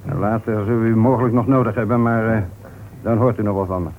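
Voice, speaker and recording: An actor playing a methodical, confident police inspector in a radio detective drama, speaking formally and deliberately, with an accusing manner. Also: male voice, fairly low pitch, steady tone, close to an everyday voice. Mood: formal, courteous. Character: methodical, confident male police inspector closing a murder case. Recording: tinny, noisy old radio recording.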